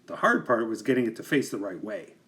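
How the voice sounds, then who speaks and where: Animated man reading a children's storybook. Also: lowish voice. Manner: animated, deliberate emphasis for young listeners. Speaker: teacher reading a picture book aloud. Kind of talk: expressive children's storybook narration.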